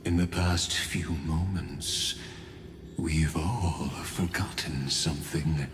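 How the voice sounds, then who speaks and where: An actor playing a grave, aloof intellectual in a video game, speaking in slow, deliberate, halting phrases. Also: male voice, extremely low pitch, full character voice. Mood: grave, musing. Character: grave, aloof male genius with ponderous, measured temper. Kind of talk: dramatic video game dialogue. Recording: echoing voice under music.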